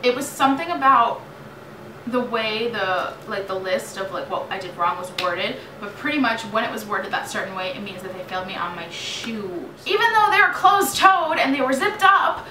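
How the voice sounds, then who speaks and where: A young American woman in her late teens telling a story in a casual, animated, chatty vlog style. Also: fairly high pitch, normal speed. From New York State, United States. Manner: animated, chatty, dramatizes remembered exchanges. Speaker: vlogger. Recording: roomy echo, distant mic, clearly noisy.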